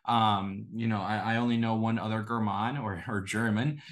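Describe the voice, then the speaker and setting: A man's voice: fairly low pitch, fairly even intonation, moderate pace. Young man in a casual interview. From Estonia. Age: 20s. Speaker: guest, e-commerce entrepreneur.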